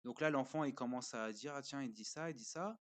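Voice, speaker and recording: A man speaking casually as a parent reviewing a learning platform, pausing often with fillers and explaining step by step while he shows a screen. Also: mid-range voice, a bit bright. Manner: hesitant, pauses often with fillers.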